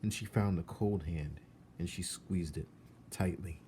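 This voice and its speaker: A man narrating a children's novel aloud in an expressive storybook style. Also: low-pitched, unhurried. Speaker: storybook reader. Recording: faint background noise.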